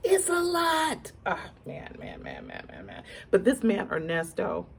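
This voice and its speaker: A woman's voice: medium pitch, huge pitch swings, a little slow, gravelly, slightly rough. A woman giving casual celebrity gossip commentary in a conversational way, repeating words for emphasis and addressing her viewers directly. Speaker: online commentator.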